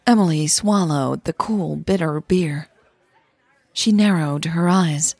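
A native Dutch-speaking woman with a husky voice, narrating a scripted podcast in a measured way. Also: low voice, a little mellow, no room sound, a faint crowd. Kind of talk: scripted audio story narration.

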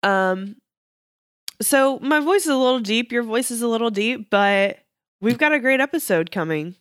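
A woman from Texas co-hosting a podcast, chatty and giggly, her voice a little deep from morning allergies. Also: lively intonation, normal speed, a bit bright, clear, resonant tone, no room sound, clean studio sound. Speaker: podcast co-host. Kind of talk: casual chat.

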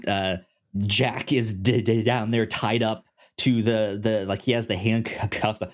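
A man co-hosting a casual film discussion, speaking haltingly with frequent stammers and repeated words, sometimes animated. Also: lowish voice. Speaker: podcast co-host.

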